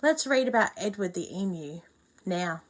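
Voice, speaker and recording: A woman reading a children's picture book aloud, expressive, pausing to ask her young listeners questions. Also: mid-range voice. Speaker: storyteller.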